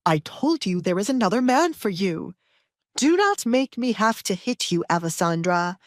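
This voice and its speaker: A woman's voice: medium pitch, big pitch swings, normal speed, no room sound, clean studio sound, close to an everyday voice. A woman voicing an angry, bullying older baron in an audiobook, commanding and threatening. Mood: threatening anger.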